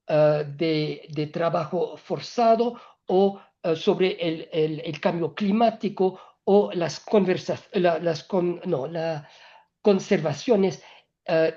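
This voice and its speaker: A woman for whom Spanish is not a first language gives a prepared talk at a business panel, stopping often on filler sounds and restarting phrases. Her voice is low and mellow, and the recording sounds dull and muffled. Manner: halting, many filler sounds and restarts, non-native grammar slips. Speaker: EU trade representative speaking at a business panel. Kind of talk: hesitant panel talk on trade policy.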